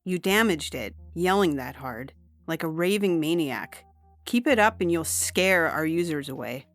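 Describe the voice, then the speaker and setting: Woman playing a brusque, low-voiced businesslike performer. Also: lively intonation, measured pace. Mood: scolding. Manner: hard, reproachful. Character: brusque, businesslike streaming performer woman, scolding her partner. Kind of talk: dramatic reading of a science fiction story. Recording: music bed under the voice. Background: music.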